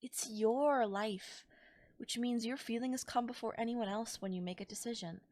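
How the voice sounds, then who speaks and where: Woman acting a tender, soothing girlfriend, high voice. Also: normal speed, darkish tone, no echo, lightly acted. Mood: soothing, concerned. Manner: tender, soothing, coaxing, breathy near-whispers at times. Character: caring, affectionate girlfriend comforting a tired partner. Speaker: voice actor. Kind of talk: soft intimate ASMR audio roleplay. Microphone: close microphone in a dry space.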